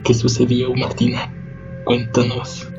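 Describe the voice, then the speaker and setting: Brief: man, flat monotone, solemn horror host, dull lo-fi recording. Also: medium pitch, gravelly, soft background music. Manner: slow, solemn, ceremonious. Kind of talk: scripted radio drama hosting. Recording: dull lo-fi sound.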